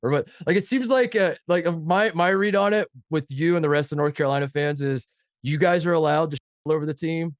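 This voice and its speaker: A man's voice: a little high, quick pace, no room sound. A man co-hosting a sports podcast while fighting a head cold, sounding nasal as he rambles and jokes at his own expense. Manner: rambling, joking, self-mocking. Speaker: podcast co-host. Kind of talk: casual sports chat.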